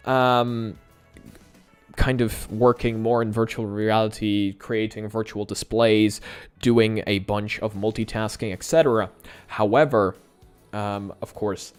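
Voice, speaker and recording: A man in his twenties, born in Poland and native in Polish, chatting candidly on a live stream and answering viewers with easy laughs. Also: on the low side. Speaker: YouTuber.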